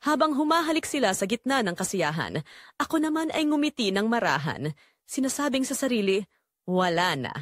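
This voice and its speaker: A woman's voice: sits high, sing-song, fairly quick, no room sound, clean studio sound. A woman with a Filipino accent narrating a scripted first-person dramatic story.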